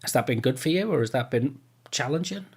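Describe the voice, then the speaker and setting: A man's voice: medium pitch, expressive, a little fast, breathy edge. A British man hosting a casual podcast chat, rambling with repeated words and often chuckling. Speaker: podcast host. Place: remote online call.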